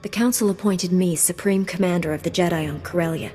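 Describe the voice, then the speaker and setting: A woman playing a calm, resolute Jedi knight in a video game, speaking with earnest firmness. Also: lowish voice, brisk, breathy edge. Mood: firm. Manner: composed, earnest, firm. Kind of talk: scripted game dialogue. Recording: music behind the voice.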